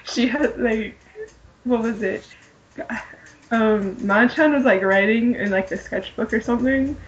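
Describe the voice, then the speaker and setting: A woman's voice: fairly high pitch, a little slow. Woman podcaster on a muffled, noisy recording. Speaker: podcast co-host. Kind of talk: casual group chat about pop fandom.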